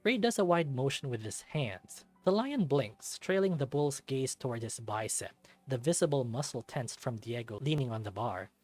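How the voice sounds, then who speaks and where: A man's voice: mid-range voice, expressive, normal speed, few pauses, darkish tone, faint music behind. A man reading a first-person story aloud in a dramatic narrating style, as for a visual novel.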